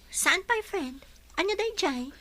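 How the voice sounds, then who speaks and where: A woman's voice: extremely high pitch, lively intonation, takes it slowly, a bit bright, heavily acted. A woman playing a hopeful, dutiful young college student in a radio drama. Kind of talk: Ilocano radio drama acting.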